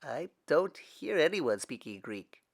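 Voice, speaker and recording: A man voicing a thoughtful young man who speaks quietly and reflectively in a storybook reading. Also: fairly high pitch, big pitch swings, slow pace, vocal fry, pitched above the performer's own voice, full character voice.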